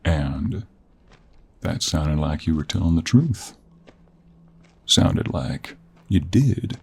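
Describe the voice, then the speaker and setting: A man playing a gruff, teasing cowboy monster hunter with a Western American drawl and a gravelly, husky voice, in an intimate audio roleplay. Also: a bass voice, lively intonation, slow, darkish tone, full character voice. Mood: probing, wry.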